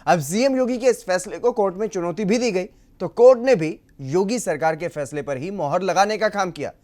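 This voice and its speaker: A woman's voice: medium pitch, normal speed, darkish tone. A woman presenting a scripted news introduction as an anchor. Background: faint music.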